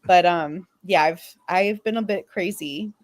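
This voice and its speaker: Slow-talking woman sharing casual anecdotes. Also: fairly low pitch, no echo. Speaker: fan panelist.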